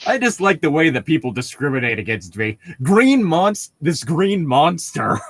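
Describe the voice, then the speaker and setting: A man's voice: fairly high pitch, big pitch swings, normal speed, dry recording. A man casually reading fan fiction aloud with friends, cutting in with sweary, mocking asides. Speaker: fan fiction podcast reader. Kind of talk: casual comic read-aloud and banter.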